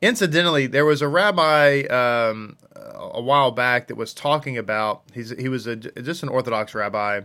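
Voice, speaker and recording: A man's voice: mid-range voice, normal speed, few pauses, pushed and bright. A man giving an informal scripture lesson as a religious teacher, repeating phrases for emphasis. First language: English.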